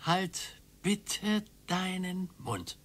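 A bored, grumpy older retired husband in a radio play. He speaks slowly with a husky, bright-edged voice and a lively pitch. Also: full character voice. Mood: irritated. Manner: weary, gruff, curt.

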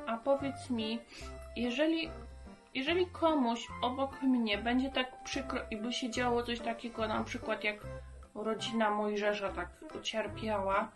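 Woman voicing a playful firefighter puppet, slow and emphatic. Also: a little high, steady tone, music in the background, close to an everyday voice. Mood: curious. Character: Kuba, chatty male firefighter hand puppet.

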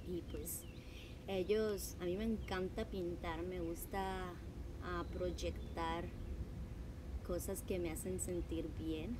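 A woman in her thirties with a Salvadoran Spanish accent hosts a casual livestream, chatting informally and a little hesitantly with some English words mixed in. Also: on the low side. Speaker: art class host. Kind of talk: casual livestream chat to viewers. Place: outdoor patio among trees. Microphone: device mic at about arm's length. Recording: noisy outdoor ambience with traffic hum.

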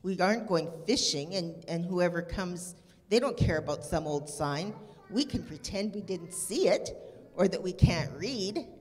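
A woman voicing an excitable, adventurous young girl in a read-aloud story. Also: on the low side, unhurried. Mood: coaxing. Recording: long hall reverb.

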